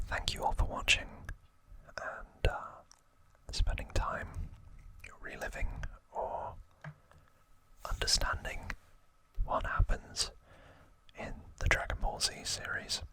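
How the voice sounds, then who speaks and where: A man whispering softly and breathily as an ASMR host, narrating trivia in short, unhurried phrases.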